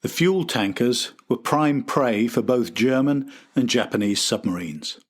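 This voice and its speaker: A man's voice: medium pitch, animated, measured pace, breathy edge. A man hosting and narrating a history documentary from a script. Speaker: host and narrator.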